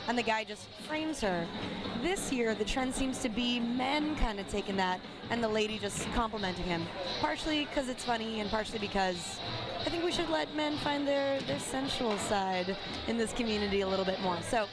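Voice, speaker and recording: A woman with a hoarse voice giving chatty, laughing live commentary at a dance event. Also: on the high side, lively intonation, moderate pace. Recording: live stream with loud music and crowd behind. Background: loud swing music and crowd.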